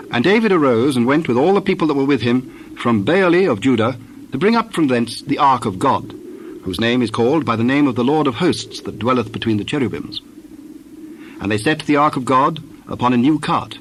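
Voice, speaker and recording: A man narrating scripture from a script in a measured, formal manner. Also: medium pitch, a little hiss or hum, poor audio.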